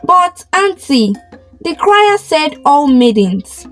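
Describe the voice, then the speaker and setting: A woman voicing a meek, gentle orphan maiden in a folktale, hesitant and respectful. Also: high voice, very animated, slow, soft background music, voice pushed up, full character voice. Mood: pleading. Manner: hesitant, deferential.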